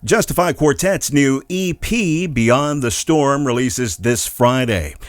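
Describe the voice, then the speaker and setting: A man in an average pitch hosts a music news show, reading scripted updates in an upbeat, friendly manner. His voice is recorded close and dry. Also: animated, slow, dry recording.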